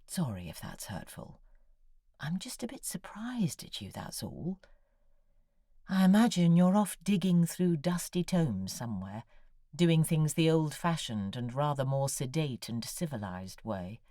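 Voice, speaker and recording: An anxious woman writer in an audio drama, confiding and articulate. Her low, husky voice moves in wide, expressive sweeps as she voices her correspondence with brooding intensity. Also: unhurried, no echo, clean studio sound, exaggerated voice. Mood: wounded, anxious. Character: anxious, needy, brooding woman novelist writing emails. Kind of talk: audio drama monologue of read letters.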